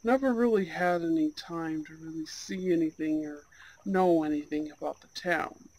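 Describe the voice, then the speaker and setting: A man playing a gentle, earnest young Goth on a blind date in an intimate ASMR roleplay, sincere and a little hesitant. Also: a little high, normal speed, low-quality recording. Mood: relaxed, reflective. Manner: soft-hearted, hesitant, sincere.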